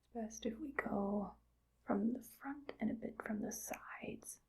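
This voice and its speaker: A woman's voice: mid-range voice, a little slow, vocal fry, husky, heavily acted, low-quality recording. A woman playing a whispering evil scientist. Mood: musing, calm. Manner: soft close whisper, musing aloud. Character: cheerfully callous female evil scientist. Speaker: ASMR roleplay performer. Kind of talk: ASMR roleplay whispering.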